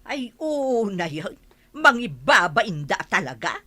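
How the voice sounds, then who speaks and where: A woman's voice: mid-range voice, sing-song, takes it slowly, pushed and bright, exaggerated voice. A woman with an Ilocano accent playing a fed-up, righteous village wife in a radio comedy drama. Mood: exasperated.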